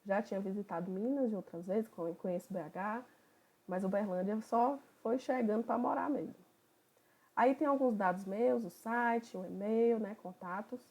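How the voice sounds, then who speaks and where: Mellow-voiced woman. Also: medium pitch, normal speed. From Bahia, Brazil. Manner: relaxed, conversational, thinking aloud. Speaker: psychoanalyst leading a study group. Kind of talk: online study group opening talk.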